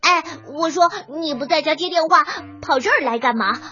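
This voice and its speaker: A performer voicing an excitable young fox who is bossy and easily annoyed, in a children's audio story. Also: high-pitched, normal speed, lo-fi sound, soft background music. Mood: scolding.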